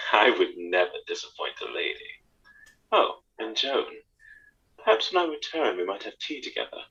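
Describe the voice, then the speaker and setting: A man playing a charming, jaunty young gentleman captain in a period radio mystery, with a breezy, gallant manner. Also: on the low side, big pitch swings, normal speed, husky, dull audio, exaggerated voice.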